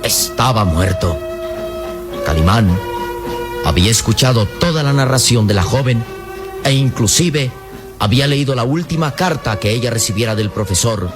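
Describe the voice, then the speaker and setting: A man's voice: mid-range voice, animated, moderate pace. A man with a Mexican accent giving dramatic scripted narration as narrator of a radio adventure serial. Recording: loud music and effects behind.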